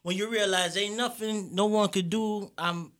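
A man with an American accent in casual podcast conversation, animated and often stammering over repeated words. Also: high voice, moderate pace. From Jamaican background. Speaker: podcast co-host. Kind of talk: casual group chat.

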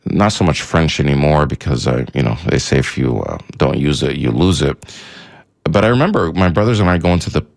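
A man from Egypt, Arabic his first language, hosts a call-in radio show. His voice sits very low with a gravelly fry. He rambles, backtracks and leans on fillers as he talks things through. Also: animated, brisk. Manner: rambling, many fillers and restarts.

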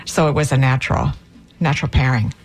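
A woman from Cuba, a professor and co-author, explaining her part in a book during a radio interview in a clear, steady way. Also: very deep voice, quick pace. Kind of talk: casual interview talk.

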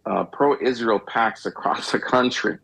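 A man's voice: mid-range voice, unhurried, vocal fry, fairly bright tone, husky. A man from Egypt co-hosting a talk radio show, discussing politics in a rambling way with frequent restarts and wry chuckles.

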